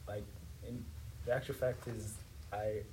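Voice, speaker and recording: A man's voice: lowish voice, flat delivery, unhurried, dark tone. A young man from Tanzania, a native Swahili speaker, chatting casually as a podcast guest. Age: young adult. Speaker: podcast guest, university student. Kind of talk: casual interview chat. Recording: noisy, distant from the mic.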